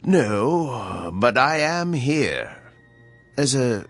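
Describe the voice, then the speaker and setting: An actor playing a mysterious, knowing messenger in a radio drama, deliberate, coolly pedantic and deadpan. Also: male voice, mid-range voice, faint music behind, exaggerated voice.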